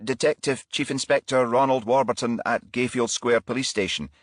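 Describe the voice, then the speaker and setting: A man's voice: mid-range voice, steady tone, normal speed, no room sound, clean studio sound, pitched above the performer's own voice, natural voice. A man voicing a dutiful junior male bank manager in a crime audiobook, formal and stiffly respectful. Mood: deferential.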